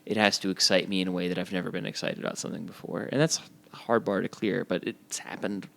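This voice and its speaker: A man's voice: low-pitched, fairly even intonation, quick pace, gravelly, fairly bright tone. A man speaking as a band musician and songwriter in a casual podcast chat, earnest and prone to casual swearing.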